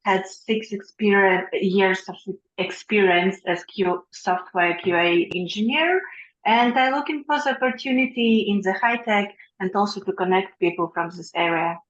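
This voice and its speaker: Woman, brisk, roomy echo, dull lo-fi audio. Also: mid-range voice. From Ukraine. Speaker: software QA engineer. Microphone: video call. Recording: echoey, dull laptop audio.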